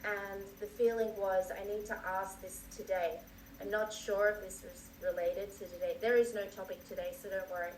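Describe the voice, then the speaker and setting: A woman's voice: medium pitch, little pitch movement, normal speed, slight background noise. A woman moderating a Q&A, reading audience questions aloud and adding short murmurs of agreement. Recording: echoey room, distant mic, faint crickets.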